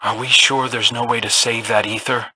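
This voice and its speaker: A man playing a gentle, doubting adventurer in a video game, earnest and reflective. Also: mid-range voice, level tone, normal speed, lightly acted. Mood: earnest, pensive. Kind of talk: video game character acting. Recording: clear ambient noise behind voice.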